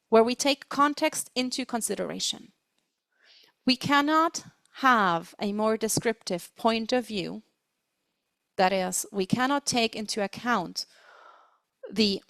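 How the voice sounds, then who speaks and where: A woman giving an explanatory webinar lecture as a trainer, speaking deliberately and stressing her key words. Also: a little high, vocal fry, a bit bright, dry recording. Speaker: webinar presenter, interpreting trainer.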